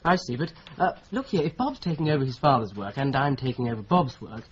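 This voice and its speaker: A man's voice: mid-range voice, big pitch swings, fast talker, lightly acted. A man playing a curious polite new solicitor. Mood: inquiring. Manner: inquisitive, polite. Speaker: newly arrived solicitor. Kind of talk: radio drama acting. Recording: dull lo-fi radio play recording with hiss.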